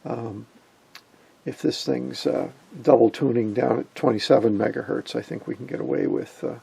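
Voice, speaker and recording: Gravelly, husky man, unhurried. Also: mid-range voice, mellow. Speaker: electronics hobbyist presenter. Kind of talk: informal hands-on tutorial narration. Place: indoor electronics workbench.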